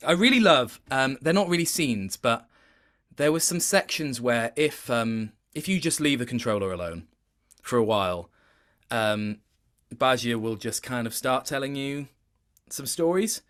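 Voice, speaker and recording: A man's voice: medium pitch, animated, measured pace, a bit bright. A man chatting casually as an interview guest, reflective and hesitant as he thinks aloud. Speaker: guest, game voice actor.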